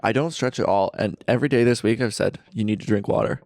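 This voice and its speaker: A man's voice: lowish voice, quick pace, gravelly, darkish tone, no room sound, clean studio sound. A young man co-hosting a podcast in a friend's basement, rambling through stories and poking fun at himself. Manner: rambling storyteller, self-mocking. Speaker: podcast co-host. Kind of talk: casual podcast chat.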